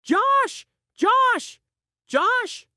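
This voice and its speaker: Lady doing an eager little pet dog from a kids story, high and bouncy, super exclamatory. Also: animated, drawn-out words, bright, forceful tone, no echo, studio quality, full character voice. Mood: overjoyed. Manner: exclamatory, eager, wide-eyed. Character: excitable, devoted little pet dog narrating his adventure.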